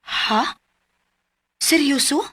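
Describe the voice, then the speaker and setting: An actress playing a gentle, devout woman who gently coaxes a troubled friend to open up, in a radio drama. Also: high voice, very slow, full character voice. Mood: caring. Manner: warm, coaxing, concerned.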